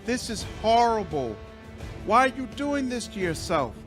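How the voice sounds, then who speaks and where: A man's voice: sits high, very animated, unhurried, voice pushed up. A man voicing a small, naive female dragon in a fantasy game, pleading in a childlike, plaintive way over music. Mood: anxious pleading. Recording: music behind the voice.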